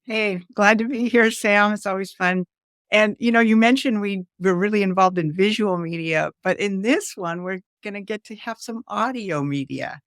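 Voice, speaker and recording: A woman's voice: medium pitch, normal speed, no room sound, studio quality. A woman co-hosting a podcast in casual conversation. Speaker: co-host, curriculum program manager. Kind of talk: casual co-host chat.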